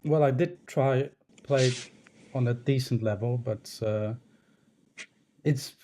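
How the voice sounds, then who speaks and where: A man's voice: medium pitch, slow, dark tone, a little hiss or hum. A man in his thirties, a native Polish speaker from Poland, answering interview questions casually as a guest in a hesitant, thoughtful, self-deprecating way. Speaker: podcast guest, snooker referee.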